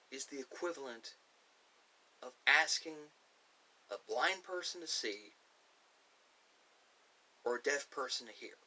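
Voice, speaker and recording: A man speaking casually and unscripted to viewers, giving his personal opinions in emphatic, halting, short phrases. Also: mid-range voice, bright, forceful tone. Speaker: video creator giving personal thoughts. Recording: distant mic with faint hiss.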